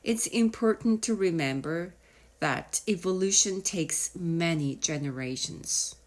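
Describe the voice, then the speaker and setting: Woman reading a kids science audiobook, kinda low voice, really slow and explainy with pauses. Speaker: audiobook reader. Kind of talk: children's nonfiction audiobook narration.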